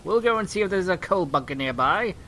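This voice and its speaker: A man's voice: sits high, animated, fairly quick, sharp tone, a little hiss or hum, exaggerated voice. A man playing a good-natured, cautious steam engine crewman in a children's audio drama, speaking in a reassuring, chatty way. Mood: concerned.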